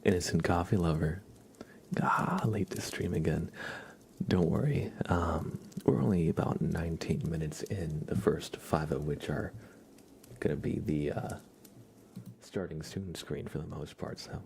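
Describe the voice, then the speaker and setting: Hushed, deep-voiced man doing ASMR. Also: a little slow, gravelly, slight background noise. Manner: gentle, hushed, drifting into whispers. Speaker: livestream host. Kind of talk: soft-spoken ASMR livestream chat, often whispered.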